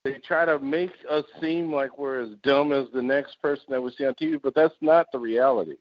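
A man's voice: medium pitch, steady tone, a little fast, no room sound. A man in his forties from the United States, co-hosting a podcast and talking bluntly with plenty of swearing. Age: 40s. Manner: blunt, swears freely, opinionated. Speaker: podcast co-host. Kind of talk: casual chat. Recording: muffled lo-fi sound.

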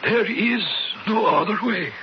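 An actor playing a grave old leader of cave dwellers in a radio drama, giving halting, patient, solemn instruction. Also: male voice, sits high. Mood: grave, guiding. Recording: muffled old radio broadcast, faint noise.

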